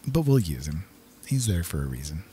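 A man's voice: low-pitched, sing-song, a little fast, a loud crowd. A man giving casual, easygoing commentary on his own gameplay, talking to himself as he plays.

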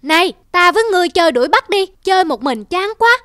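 A woman voicing a spoiled little rich boy in a cartoon folk tale, excitable and commanding. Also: very high voice, fast, no echo, clean studio sound. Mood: playful, bossy. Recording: music behind the voice.

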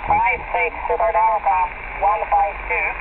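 Crackly high-voiced man over radio. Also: monotone, measured pace, creaky. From Finland. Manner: repeats words and call signs. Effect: shortwave radio reception. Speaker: radio operator. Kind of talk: two-way radio contact. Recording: very noisy, crackly narrowband radio reception. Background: heavy radio static.

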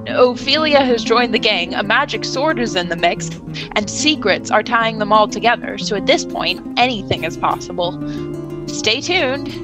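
A woman speaking casually as a game master narrator, closing a podcast episode. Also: a little high. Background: clearly audible music.

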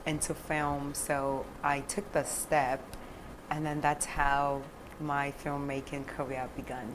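A woman's voice: low-pitched, takes it slowly, noisy background. A young woman from Ghana, a filmmaker guest, talking easily in a studio interview and laughing often between thoughts. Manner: laughs often, animated with hand gestures. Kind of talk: relaxed interview talk. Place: studio set, seated on sofa.

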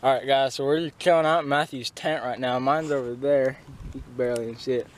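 A young man talks casually to a handheld camera in a medium-pitched voice. He is slangy and swears freely, like someone vlogging on a hike. There is some noise on the outdoor recording. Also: fairly even intonation, fast. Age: young adult. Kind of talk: casual handheld camping vlog. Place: outdoors on a windy mountain, partly inside a tent. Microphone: handheld camera at arm's length. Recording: noisy wind-blown outdoor camera audio.

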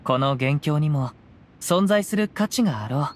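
A man voicing a gentle, reflective young wandering samurai in a video game, speaking calmly and poetically in archaic phrasing. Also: on the high side, unhurried, slight background noise. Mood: calm, wistful. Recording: music behind the voice.

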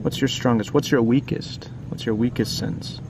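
A man's voice: medium pitch, fairly even intonation, normal speed, gravelly, background noise. A man doing a casual read-aloud for children, chatting informally and often asking the listener questions. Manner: informal, asks the listener frequent questions and tag questions. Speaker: children's book reader and teacher.

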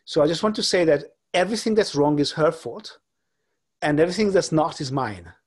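Husky man lecturing over video call. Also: on the high side, lively intonation. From Hungary. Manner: halting, many fillers and restarts. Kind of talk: online lecture with slides. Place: home room. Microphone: laptop webcam on video call.

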